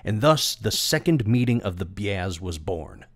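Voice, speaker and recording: A man with a fairly low voice narrates a scripted story in a theatrical, wordy way. The recording is close and very dry. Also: big pitch swings, measured pace, dry recording. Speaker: video creator and voice actor.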